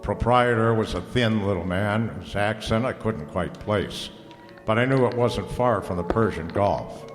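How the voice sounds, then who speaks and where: Man playing a low-voiced hardboiled private eye. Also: unhurried, natural voice. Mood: narrating, wry. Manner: dry, laconic, slangy. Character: wry, hardboiled male private detective narrator. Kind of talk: old-time radio noir acting and narration. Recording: reverberant hall, music underneath.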